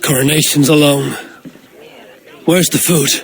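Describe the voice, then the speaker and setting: Terse, higher-voiced Norse warrior. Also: male voice, steady tone, unhurried. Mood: wry, curious. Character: gruff, wry, plain-spoken Norse warrior, adult male. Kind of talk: cinematic video game acting. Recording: music behind the voice.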